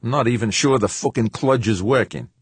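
Man voicing a husky, snappish space gunner. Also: mid-range voice, brisk, no echo, voice pushed up, lightly acted. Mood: irritated. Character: gruff, foul-mouthed male laser gunner, tense, snappish. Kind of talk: audiobook character dialogue.

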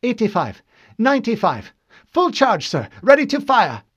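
A man's voice: wildly swooping pitch, normal speed, fairly bright tone, breathy edge, highs cut off, heavily acted. A man voicing a keyed-up junior weapons lieutenant who reports urgently aboard a warship in a sci-fi audiobook. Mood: tense, excited. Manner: high, urgent military reporting.

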